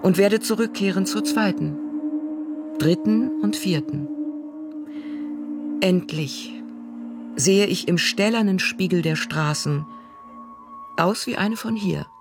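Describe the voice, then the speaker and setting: A woman reading a literary text aloud in a measured way, pausing between short phrases. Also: mid-range voice. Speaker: reader. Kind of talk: slow literary reading over music. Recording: faint singing bowl music behind.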